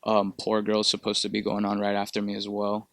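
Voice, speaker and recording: A man's voice: lowish voice, monotone, brisk, fairly bright tone, no echo, clean studio sound. A young man from Peru, a musician answering interview questions in a loose, rambling way with lots of fillers and swearing. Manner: laid-back, rambling with many fillers and profanity. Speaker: musician guest. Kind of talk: casual interview.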